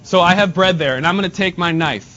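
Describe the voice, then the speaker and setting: A man's voice: fairly quick, pushed and bright. Nasal man teaching math to a classroom. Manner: casual, talks to students while drawing on the board. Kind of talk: classroom lesson. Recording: dull lo-fi sound, faint rumble.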